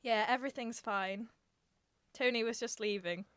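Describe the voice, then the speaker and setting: A woman playing a flirtatious, sharp-tongued circus performer in an amateur radio drama, catty and insolent. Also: fairly high pitch, normal speed, smooth, dry recording, natural voice. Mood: coy, flirtatious.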